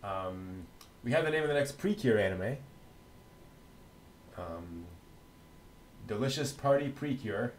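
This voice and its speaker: Low-voiced middle-aged man, halting and casual. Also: animated, slight background noise. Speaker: co-host of an anime news show. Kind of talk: casual chatty news rundown.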